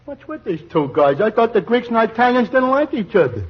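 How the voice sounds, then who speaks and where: A man's voice: extremely high pitch, sing-song, brisk, slightly rough, heavily acted. An actor playing a naive, dim-witted male sidekick with simple questioning talk in 1940s radio comedy. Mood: puzzled. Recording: muffled vintage radio recording.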